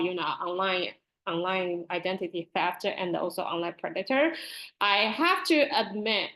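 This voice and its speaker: A middle-aged woman with a Taiwanese accent gives an informal online presentation, speaking haltingly and often repeating her words. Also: medium pitch, a bit bright, rough recording. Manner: halting, with frequent repeated words and nervous laughter. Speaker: presenter, school librarian and author. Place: online video call.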